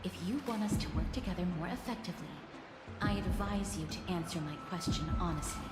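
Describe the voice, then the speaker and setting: Brief: a woman as a guarded, formal young death goddess, roomy game audio over loud music. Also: fairly low pitch, a little fast, natural voice. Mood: earnest.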